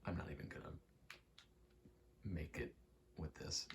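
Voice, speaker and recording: A young man giving a soft-spoken, halting, reflective ASMR ramble, telling personal stories in a hushed voice close to the mic. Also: very deep voice, vocal fry, noisy background. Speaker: ASMR creator.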